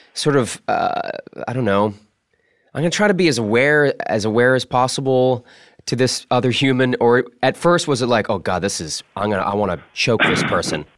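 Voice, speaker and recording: A man's voice: mid-range voice, no echo, studio quality. A man from Massachusetts hosting a casual interview, asking informal, rambling questions that he keeps reshaping as he goes. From Massachusetts, United States. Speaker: podcast host, interviewer.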